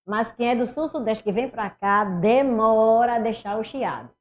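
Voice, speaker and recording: A middle-aged woman with a Northeastern Brazilian accent teaches an online exam-prep class and walks her students through each answer in a lively way. Her voice sits a little high. The recording sounds somewhat muffled and lo-fi. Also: normal speed. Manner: animated, talks students through each step, mimics speech habits. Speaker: Portuguese teacher.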